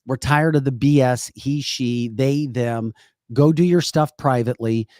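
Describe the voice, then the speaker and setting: A man's voice: mid-range voice, measured pace, darkish tone, no room sound, studio quality. A man co-hosting a political talk podcast, speaking bluntly and emphatically and repeating points for effect. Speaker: podcast co-host. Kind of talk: political talk show chat.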